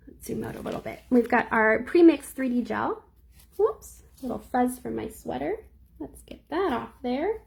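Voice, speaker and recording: A young woman with a slightly high, squeaky voice talks viewers through a nail art design. Her tone is chatty and friendly, and she answers comments as she goes. The voice has some gravel and a soft, husky edge. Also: lively intonation, moderate pace. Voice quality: a little squeaky. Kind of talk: live nail art tutorial.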